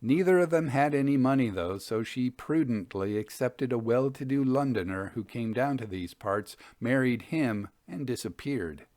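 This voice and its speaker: A man reading the part of an earnest young Victorian gentleman telling village gossip in measured storybook dialogue. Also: mid-range voice, animated, no echo, lightly acted. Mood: earnest, confiding.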